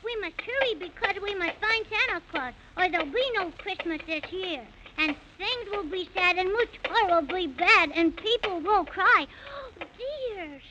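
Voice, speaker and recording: An earnest little boy full of polite wonder in a radio children's serial. The voice is very high and lively, on a muffled old recording with clear noise. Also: a little slow, hardly any pauses. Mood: wide-eyed. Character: earnest, polite, wide-eyed little boy Jonathan Thomas.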